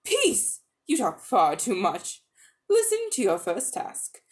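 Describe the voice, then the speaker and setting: A woman's voice: medium pitch, wildly swooping pitch, slow. A woman voicing a haughty, scornful witch queen who commands with contempt in a dramatic storybook reading. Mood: commanding, menacing.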